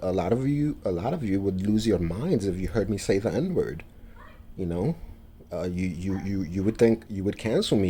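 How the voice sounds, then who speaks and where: New man, very low voice, dark mellow tone, halting, lots of fillers. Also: slightly rough. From New York, USA. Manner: halting, many fillers and restarts. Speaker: vlogger. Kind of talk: casual monologue to camera.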